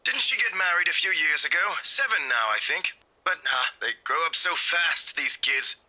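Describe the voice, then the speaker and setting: A woman's voice: deep voice, animated, normal speed, bright, forceful tone, heavily acted, low-quality recording. A woman voicing a mild middle-aged father in a visual novel, musing and hesitant.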